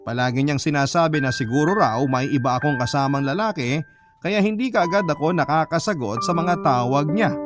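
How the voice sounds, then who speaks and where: A man's voice: on the high side, animated, fairly quick. A man narrating a scripted first-person story, reading a listener's letter for a radio drama. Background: background music.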